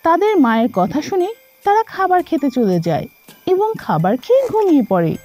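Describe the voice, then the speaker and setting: A woman's voice: high voice, big pitch swings, moderate pace, warm tone, faint music behind. A woman narrating a scripted children's story.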